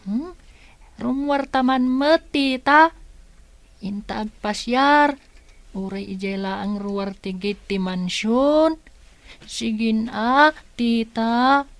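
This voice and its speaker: A coaxing young child acted by a woman in a radio play, eager and wheedling. The voice is fairly high and unhurried, recorded dry. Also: no room sound. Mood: coaxing, pleading. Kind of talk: Ilocano radio drama acting.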